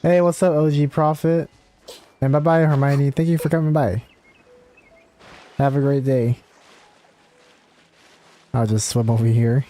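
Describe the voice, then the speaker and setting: A man's voice: medium pitch, fairly even intonation, a little slow, pauses often, mellow. A man giving casual commentary as he livestreams a video game. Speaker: game streamer.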